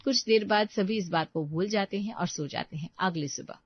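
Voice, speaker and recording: A woman's voice: mid-range voice, moderate pace, breathy edge, no echo, highs cut off. A woman narrating a scripted story as a storyteller.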